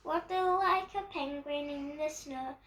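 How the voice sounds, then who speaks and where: A woman's voice: high-pitched, a little slow, fairly bright tone, slight background noise. A young girl with a British accent reading a picture book aloud in a playful storytime style. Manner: playful, acts out bird calls and actions. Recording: roomy echo, distant microphone.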